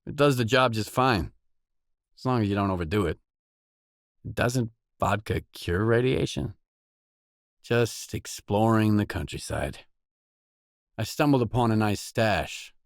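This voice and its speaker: A wary stalker for hire from a video game, speaking in a lowish male voice. He is blunt and terse, keeping things dry and matter-of-fact. The recording is very dry, with no room sound around the words. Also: lively intonation, unhurried, creaky, pauses often, dry recording. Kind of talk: scripted game voice lines.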